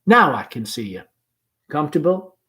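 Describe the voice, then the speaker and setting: A man playing a confident, gently humorous young gentleman in a play, speaking in a hearty, breezy manner. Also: fairly high pitch, big pitch swings, moderate pace. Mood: confident, easygoing. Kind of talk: play read-aloud.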